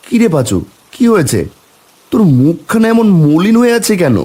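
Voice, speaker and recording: A man's voice: high-pitched, sing-song, moderate pace, warm tone. A man voicing a worried, caring village husband in a cartoon, with a Bengali accent and colloquial, exclaiming speech. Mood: concerned. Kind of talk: cartoon dialogue acting.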